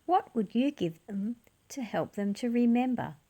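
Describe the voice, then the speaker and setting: Slow, animated woman reading to children. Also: fairly high pitch. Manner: slow, deliberate, animated delivery for young listeners. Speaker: storyteller reading aloud to children. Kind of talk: expressive children's storybook read-aloud.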